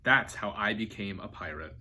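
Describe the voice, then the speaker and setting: A man reading a children's storybook aloud as an expressive narrator. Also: fairly low pitch, moderate pace, slight background noise. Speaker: storybook reader.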